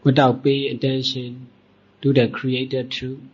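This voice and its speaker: A man teaching as a Buddhist monk, leading a guided meditation in deliberate short phrases with long pauses. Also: mid-range voice, completely flat delivery, a little mellow, husky. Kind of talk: guided meditation dhamma talk. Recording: dull, muffled lo-fi recording.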